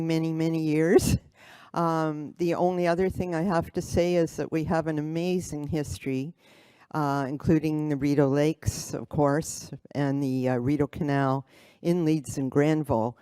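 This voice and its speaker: Halting older woman with a smooth voice. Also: low-pitched, mellow, dry recording, poor audio. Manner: halting, with fillers and laughs. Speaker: award recipient. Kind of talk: short acceptance speech. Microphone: podium microphone.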